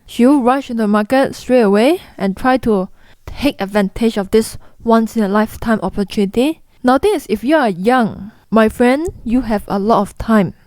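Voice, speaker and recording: A woman's voice: a little high, normal speed, darkish tone, no room sound. A young woman with a Singaporean accent, explaining investing mistakes to camera in a blunt, chatty way. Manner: blunt, chatty, mimics people in quotes. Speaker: investing vlogger.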